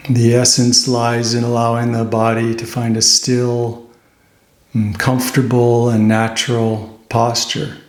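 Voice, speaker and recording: A man leading a guided meditation as a teacher, speaking gently and unhurriedly, with pauses between phrases. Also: mid-range voice, completely flat delivery, roomy sound.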